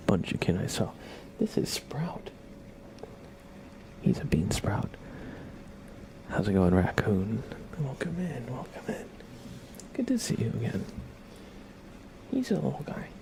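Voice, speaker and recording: A man speaking in a soft, gravelly whisper, chatting casually and gently as an ASMR live streamer greeting viewers. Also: mid-range voice, a little hiss or hum, low-quality recording. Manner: gentle, rambling chat with viewers and pet. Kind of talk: ASMR livestream casual chat.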